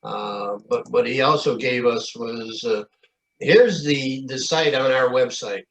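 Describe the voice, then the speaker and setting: An American man informally walking through a shared screen on a video call, halting and folksy as he goes. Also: medium pitch. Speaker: club meeting co-presenter. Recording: dull video-call audio.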